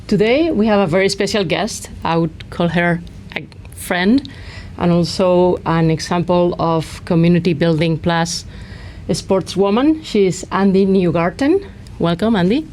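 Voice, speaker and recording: Soft-voiced woman hosting an interview. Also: on the low side, measured pace, slight background noise. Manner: friendly, hesitant with many fillers. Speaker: podcast host.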